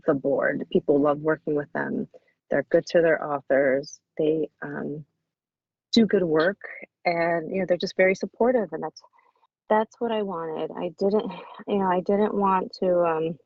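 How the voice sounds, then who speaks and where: A woman speaking as an author guest in a casual interview, effusive and often halting and restarting her sentences. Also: fairly low pitch, creaky, no echo. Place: small cabin in the woods. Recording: dull lo-fi audio.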